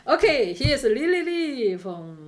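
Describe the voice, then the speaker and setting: A woman's voice: sits high, sing-song, a little slow. A woman whose first language is Chinese, with a Taiwanese Mandarin accent, a radio host and former opera singer, chatting in German with frequent grammar slips and hesitant fillers. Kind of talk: casual interview chat.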